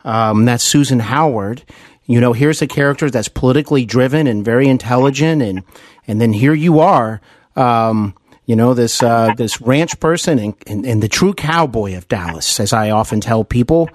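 A man with a medium-pitched voice hosts a conversational interview. He is earnest and wordy, circling back over his words, and the sound is dry with no room echo. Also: moderate pace. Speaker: podcast host interviewing a guest.

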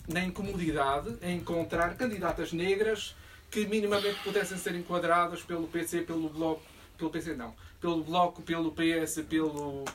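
A man speaking spontaneously about politics at a public discussion, in formal wording with hesitant, halting phrasing. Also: a little high, steady tone. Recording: distant room microphone, faint background noise.

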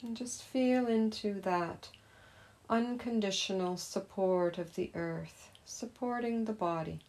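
Very slow, soothing woman guiding meditation. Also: mid-range voice. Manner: gentle, soothing, unhurried. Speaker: meditation teacher. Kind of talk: guided meditation.

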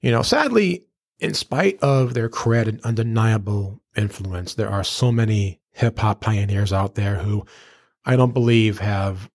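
A man with a low voice hosts a casual hip-hop interview show. He talks earnestly and effusively, dropping slang as he goes. The recording is dry and close, with very little room sound. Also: a little slow, dry recording. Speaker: podcast and radio host.

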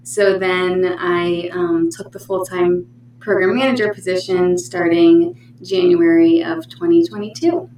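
A woman from Pennsylvania who works as a counselor and program manager, giving friendly interview answers with quick asides in a library meeting room. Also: fairly low pitch, mellow. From Pennsylvania, USA. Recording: roomy, distant mic, faint hum.

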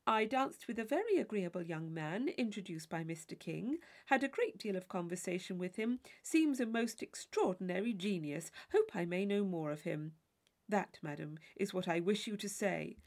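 A woman voicing a witty, teasing gentleman in his mid-twenties, fluent and mock-formal, in a classic novel reading. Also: mid-range voice, animated, normal speed, natural voice. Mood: playful, mock-serious. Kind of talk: classic novel audiobook character voice.

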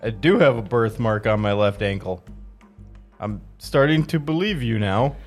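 A man acting an indignant, vengeful action hero in an amateur comedy script reading, with overdramatic, drawn-out delivery. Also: lowish voice, animated, soft background music. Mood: skeptical.

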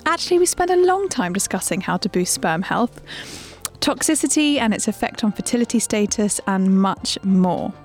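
A woman's voice: mid-range voice, expressive, moderate pace. A young adult woman hosting a podcast, reading an intro and then chatting with a guest in a friendly, upbeat way. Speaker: podcast host. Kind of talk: scripted intro then casual interview.

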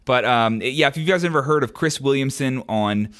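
A man's voice: medium pitch, brisk, a bit bright. A young adult man hosting his own podcast, answering listener questions in a candid, rambling way with frequent ums and restarts. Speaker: podcast host. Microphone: podcast microphone.

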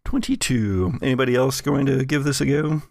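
A man running a tabletop game, narrating off the cuff and often pausing to find his words. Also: mid-range voice, creaky, darkish tone, dry recording, studio quality. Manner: halting, searching for words. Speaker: game master.

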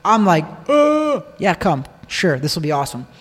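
A man's voice: high-pitched, sing-song, normal speed, breathy edge. An American man telling a personal story in casual conversation, repeating phrases for emphasis. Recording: long echoing reverb.

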